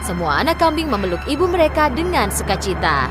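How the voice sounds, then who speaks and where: A woman's voice: on the high side, big pitch swings, a little fast, over music. A woman storyteller reading scripted fairy tale narration for children.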